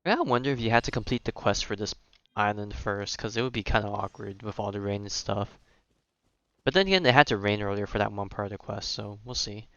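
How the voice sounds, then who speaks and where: A man's voice: fairly low pitch, a little fast, a bit bright, dry recording, dull audio. A man giving casual, laid-back gameplay commentary as a streamer, with slangy phrasing. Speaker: game streamer.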